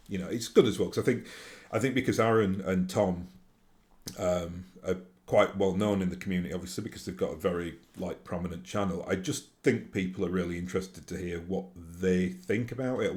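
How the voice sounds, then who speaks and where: A man's voice: low voice, a little mellow. A man with a broad Yorkshire accent, talking casually to his viewers in a rambling way with many fillers and restarts. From Yorkshire, England. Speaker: YouTube host. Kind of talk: casual solo monologue.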